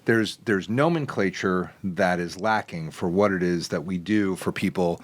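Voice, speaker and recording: A man hosting a casual podcast interview who asks questions and breaks in with animated interjections. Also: sits low, moderate pace. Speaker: podcast host, plastic surgeon.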